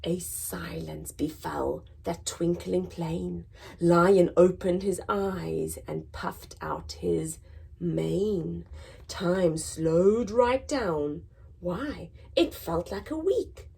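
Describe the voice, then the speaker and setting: A woman reading a children's picture book aloud in a dramatic, expressive storytelling manner, moving between hushed and emphatic delivery. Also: on the low side, drawn-out words, gravelly, breathy edge. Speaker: story time reader.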